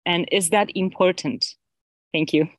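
A woman's voice: on the low side, measured pace, dry recording, studio quality. A woman from Poland asking a polite, hesitant question as an audience member. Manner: hesitant, many fillers.

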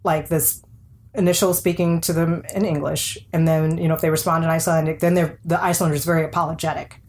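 A woman's voice: low voice, flat delivery, quick pace, a little mellow. A woman co-hosting a podcast, talking candidly and wryly, often acting out what others said. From American South. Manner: candid, wry, acts out quoted remarks. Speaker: podcast co-host. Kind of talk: casual conversation.

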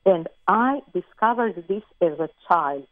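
Croatian-accented musician on a tinny phone line. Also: female voice, on the low side, expressive, unhurried, no room sound. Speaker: guest musician. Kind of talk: interview over the phone.

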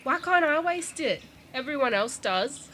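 A woman's voice: high-pitched, animated, a little slow, sharp tone, slight background noise, full character voice. A woman playing a plain-spoken, insistent schoolgirl granddaughter in a naturalistic audio drama. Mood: whiny. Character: stubborn, earnest Australian schoolgirl granddaughter.

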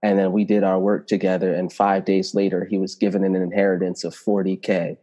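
A man with a low, mellow voice whose first language is Italian. He speaks as a spiritual teacher, telling his stories earnestly and reflectively, and he stretches out the words he wants to stress. The sound has a long, echoing reverb, as if recorded in a hall. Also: little pitch movement. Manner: reflective, flowing, slows to stress key words. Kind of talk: earnest personal storytelling.

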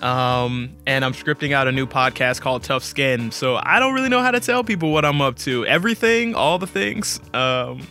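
A man's voice: medium pitch, sing-song, normal speed, few pauses, sharp tone, faint music behind. A man speaking as a guest storyteller in a casual interview, candid and informal, with a self-deprecating way of talking.

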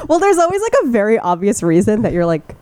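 A woman's voice: on the high side, dark tone, no room sound. Congested, slightly nasal woman chatting. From US East Coast. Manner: chatty, laughs often, lots of "like". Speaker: podcast co-host. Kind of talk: casual chat.

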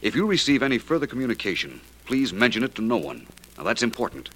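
An actor playing a suave, quick-witted private detective in an old radio drama, urbane and wry. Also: male voice, medium pitch, big pitch swings, fairly bright tone. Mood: grave, measured. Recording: faintly noisy old radio recording.

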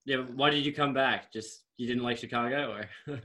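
A twenty-one-year-old man co-hosting a casual interview, speaking loosely with halting restarts and casual swearing. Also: mid-range voice, steady tone, pushed and bright. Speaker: podcast co-host. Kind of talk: casual chat.

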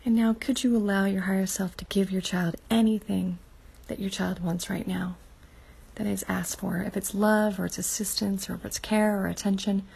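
A woman guides a meditation in a heavily gravelly, breathy voice. She speaks softly and slowly, often sinking into a half whisper. Her pitch stays flat and even throughout. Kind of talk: guided inner-child meditation.

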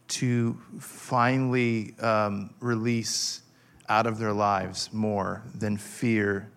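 A man's voice: medium pitch, vocal fry, fairly bright tone. Male pastor, 40s, fervent sermon delivery, stop-start phrasing, mid-range voice. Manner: impassioned, halting restarts with frequent fillers.

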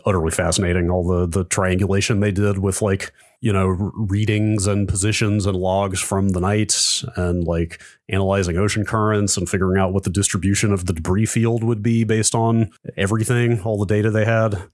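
Low-voiced man in casual tech chat. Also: little pitch movement, brisk, hardly any pauses, darkish tone, dry recording, studio quality. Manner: rambling storyteller, many "like" fillers. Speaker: podcast co-host.

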